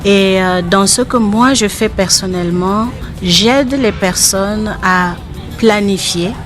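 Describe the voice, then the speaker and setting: Measured woman from Cameroon, music behind. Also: mid-range voice. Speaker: life insurance agent, interview guest. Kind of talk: interview answers.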